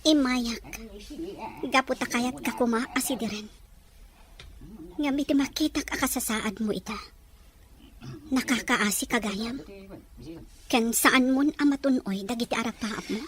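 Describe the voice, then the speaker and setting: A woman's voice: on the high side, measured pace, pauses often, a bit bright. A woman acting a dutiful young graduate, hurt yet kind, in an audio drama with an Ilocano accent. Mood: earnest, hurt.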